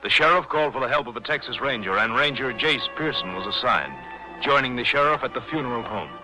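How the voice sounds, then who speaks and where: An actor as a formal, crisp narrator of a 1950s radio crime drama. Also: male voice, medium pitch, lively intonation, fast talker, close to an everyday voice, rough recording. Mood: grave, formal. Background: music.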